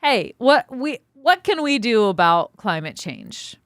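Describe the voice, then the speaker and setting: A woman's voice: on the high side, very animated, measured pace, dry recording, studio quality. A young woman co-hosting a casual podcast, playful and sarcastic, laughing a lot as she jokes. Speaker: podcast co-host. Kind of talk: casual chat.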